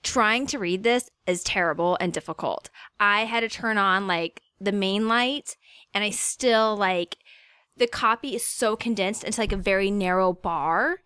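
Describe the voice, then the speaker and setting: A woman's voice: mid-range voice, measured pace, pushed and bright, smooth, no room sound, studio quality. A woman co-hosting a casual book club podcast, talking in a blunt, informal, colloquial way. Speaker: podcast co-host.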